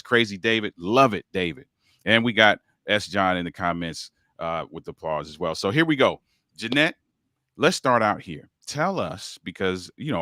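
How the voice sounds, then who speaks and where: A man's voice: deep voice, sharp tone, no room sound, studio quality. A man hosting a livestreamed podcast, upbeat and encouraging, talking with a preacher's lift as he greets commenters and his guest. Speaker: podcast host.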